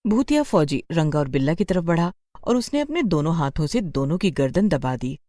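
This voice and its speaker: Female horror narrator: scripted storytelling, animated intonation, lowish warm tone. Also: moderate pace, no echo, clean studio sound.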